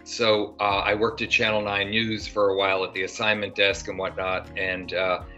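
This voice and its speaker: A man's voice: fairly low pitch, level tone, normal speed, pushed and bright. An older man, an actor guest, talking in a casual interview, rambling with many fillers and restarts. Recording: dull lo-fi sound with faint music.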